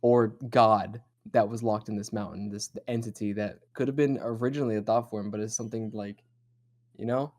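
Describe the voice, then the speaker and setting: Mellow-voiced man chatting through horror lore. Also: fairly low pitch, steady tone, a little fast. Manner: rambling, profane, speculative. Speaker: host of a horror discussion show.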